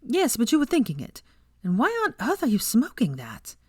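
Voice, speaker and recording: Woman voicing a high, emphatic, bossy young woman. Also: huge pitch swings, normal speed, a little mellow, husky, dry recording, clean studio sound, exaggerated voice. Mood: indignant. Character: sharp, bossy, easily exasperated young woman.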